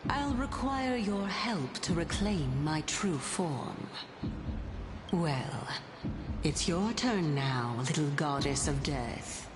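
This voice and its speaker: A woman's voice: sits low, noisy background, exaggerated voice. An actress playing a mysterious, teasing woman guide in a video game, speaking in a knowing, playfully superior manner. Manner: teasing, drawn-out, knowing delivery. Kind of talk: English video game voice acting.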